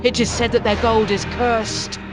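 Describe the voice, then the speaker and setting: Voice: mid-range voice, flat delivery, unhurried, natural voice. A voice actor as a fearful villager telling cursed legends. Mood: hushed. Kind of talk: dubbed game cutscene acting. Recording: game audio under loud music.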